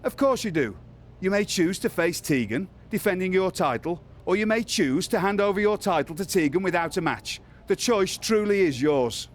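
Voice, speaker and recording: Posh-mannered british boss man, slightly high voice, sly and polite, video game cutscene. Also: lively intonation, moderate pace. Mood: smugly polite. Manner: formal, polite, smoothly condescending. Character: genteel, sly British male wrestling general manager.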